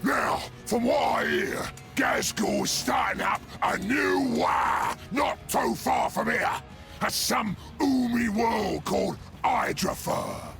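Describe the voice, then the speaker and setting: A man voicing a sly, jovial ork salesman in a video game, with a raspy Cockney English voice and a wheedling manner. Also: high-pitched, huge pitch swings, slow, fairly bright tone, raised voice, soft background music, exaggerated voice. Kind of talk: video game character acting.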